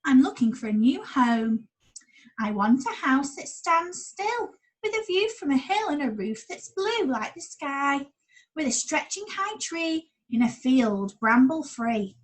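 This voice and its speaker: Woman as kindly storybook ex-pirate, male, jaunty, helpful, high lively voice. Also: unhurried, poor audio. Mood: earnest, hopeful. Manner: jaunty, exclaiming.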